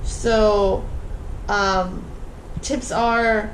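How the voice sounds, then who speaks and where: A woman's voice: mid-range voice, steady tone, extremely slow. A woman chatting casually as a vlog co-host, questioning and emphatic. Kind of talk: casual chat. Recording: roomy echo with background noise.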